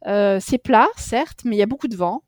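A middle-aged French woman chats casually as an interview guest. She hesitates often, fills pauses with "euh", and restarts her sentences. The sound is dry and close, with a medium pitch. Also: dry recording. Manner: chatty, hesitant with many fillers and restarts.

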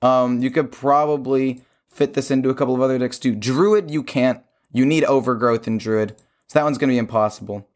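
Hoarse male host, medium pitch, sore throat, conversational, explaining opinions on a card game. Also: fairly even intonation, a little fast. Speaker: gaming show host. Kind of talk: casual chat about a card game.

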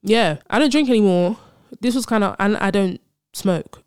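A woman's voice: medium pitch, moderate pace, smooth, dry recording, clean studio sound. A woman, hosting a casual solo podcast and telling stories in a chatty, slangy way. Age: 20s. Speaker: podcast host.

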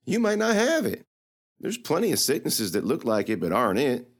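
A man voicing a defiant, sharp-tongued young girl with one arm, speaking in a cheeky, needling way. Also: medium pitch, wildly swooping pitch, moderate pace, voice pushed up.

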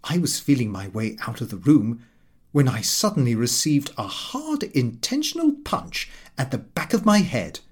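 A man's voice: medium pitch, very animated, moderate pace, breathy edge. A man narrating a comic first-person audiobook in a prim, precise, slightly aggrieved manner.

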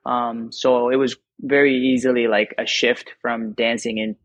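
A man in his thirties from Puerto Rico, a circus performer talking as a podcast guest in a friendly, relaxed way. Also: mid-range voice, a little slow, lo-fi sound. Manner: friendly, relaxed, laughs easily. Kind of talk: casual interview chat.

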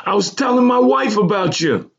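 A man voicing a big, excitable former bully in a storybook, loud and overjoyed. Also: high-pitched, normal speed, dull audio, voice pushed up. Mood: overjoyed, eager.

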